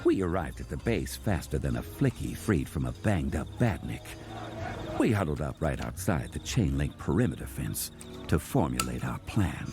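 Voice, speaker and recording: A man playing a boastful, bumbling crocodile detective narrating his case in a gruff audio drama style. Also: very deep voice, big pitch swings, moderate pace, full character voice. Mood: matter-of-fact storytelling. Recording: music behind the voice.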